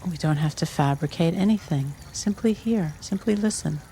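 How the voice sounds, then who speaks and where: A meditation teacher giving guided instruction in measured, short phrases with pauses between them. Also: medium pitch, mellow, a little hiss or hum. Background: trickling water ambience.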